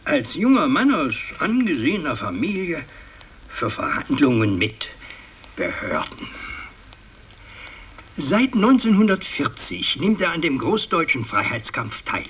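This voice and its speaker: An actor playing a pompous, fussy older aristocratic patriarch in a radio drama, speaking in a halting, ceremonious, long-winded way. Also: male voice, on the high side, wildly swooping pitch, a bit bright, breathy edge, full character voice. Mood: solemn, fussy. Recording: distant, noisy 1960s radio recording.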